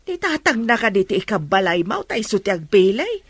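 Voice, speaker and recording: An actress with a husky voice and an Ilocano Filipino accent playing a worried, practical mother of a grown son in a radio drama. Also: mid-range voice, big pitch swings, a little fast, no room sound. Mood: concerned, chiding.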